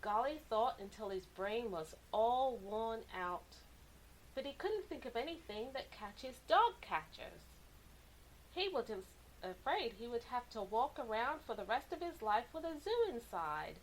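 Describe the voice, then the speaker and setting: A woman narrates a picture book for young children in a fairly high voice. Her delivery is slow and deliberate, with animated, dramatic emphasis on key words. A faint hiss sits behind the recording. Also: rough recording. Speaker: children's read-aloud storyteller.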